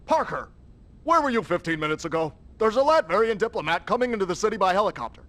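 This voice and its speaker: An actor playing a brash, bossy newspaper boss in a video game, barking bluntly and impatiently. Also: male voice, sits high, animated, fast, a bit bright. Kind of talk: scripted video game acting.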